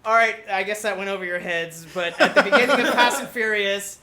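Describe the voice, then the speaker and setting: Bright-voiced man, halting and jokey. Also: sits high. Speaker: panelist. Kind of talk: casual chat, pitching ideas. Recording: faint background noise.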